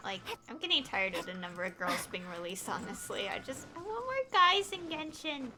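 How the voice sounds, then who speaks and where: A woman's voice: a little high, big pitch swings, brisk, over loud music. A woman game streamer chatting casually and rambling, with an exasperated, opinionated, pleading emphasis. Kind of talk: casual rambling livestream chat.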